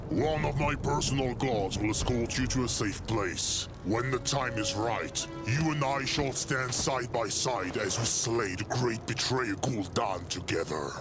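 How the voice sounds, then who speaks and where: A man playing a loyal, grave orc chieftain who speaks in a measured, formal way in a fantasy game cutscene. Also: mid-range voice, natural voice. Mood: grave, resolute. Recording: loud music behind.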